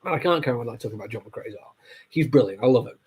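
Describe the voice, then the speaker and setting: A man with a heavy cold talks through a comic book review, his voice nasal and a little husky. He rambles casually, full of ums and apologies, at an ordinary middle pitch. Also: animated, racing, a little mellow. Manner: rambling, with many fillers and apologies. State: stuffy nose from a cold.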